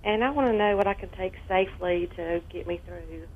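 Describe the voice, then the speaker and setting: A woman's voice: lowish voice, a little fast, slight background noise. A woman calling a radio health show to ask a medical question. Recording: narrow telephone line.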